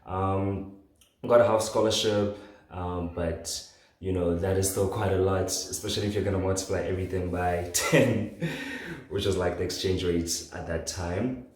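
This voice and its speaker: Deep-voiced young man, very echoey room. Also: fairly even intonation, moderate pace, darkish tone. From southern Africa, born in Zambia. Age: late 20s. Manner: informal, conversational, often says "like". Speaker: podcast host. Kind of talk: casual solo podcast storytelling.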